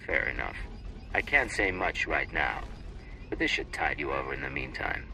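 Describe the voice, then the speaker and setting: A man's voice: very deep voice, expressive, moderate pace, sharp tone, a little hiss or hum, exaggerated voice, poor audio. A man playing a hard, commanding covert agent in a game cutscene, curt and authoritative. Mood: guarded, controlled.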